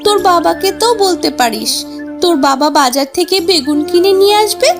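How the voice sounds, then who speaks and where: A woman as a high-pitched fussing cartoon mother. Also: expressive, a little fast, over music. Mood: coaxing. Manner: chiding, coaxing. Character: fussing, caring animal mother of a young girl. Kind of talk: children's cartoon voice acting.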